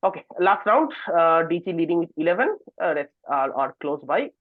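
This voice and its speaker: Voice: mid-range voice, big pitch swings, normal speed, dry recording. A quizmaster reading trivia questions aloud on an online call and ruling on the answers. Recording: thin phone-like online call audio.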